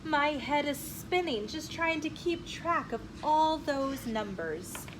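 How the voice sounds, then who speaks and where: A woman's voice: high-pitched, lively intonation, slow, voice pushed up. A woman voicing a harried, practical noblewoman hostess in a children's storybook, with fretful delivery. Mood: exasperated. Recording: clearly noisy room with ambient sound.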